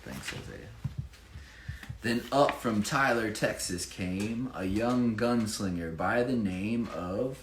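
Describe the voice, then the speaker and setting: Man, author read-aloud, unhurried pace, dramatic emphasis, casual asides, echoey room, slight background noise. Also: fairly low pitch, expressive. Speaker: children's book author. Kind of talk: expressive read-aloud of a rhyming picture book with informal asides.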